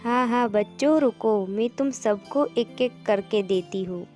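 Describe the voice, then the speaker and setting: Woman playing Kamala, high-voiced village ice cream seller. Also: measured pace, dark tone, faint music behind, close to an everyday voice. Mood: brisk. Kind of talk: Hindi cartoon moral-story dialogue.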